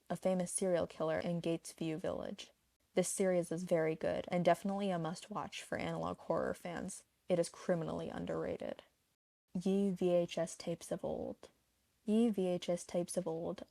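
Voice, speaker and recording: A woman with a fairly low voice and a clear creak of vocal fry narrates a scripted video essay. The recording is close and dry, with no room sound. She presents each item as an explainer and mixes in personal opinion. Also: dark tone, no echo.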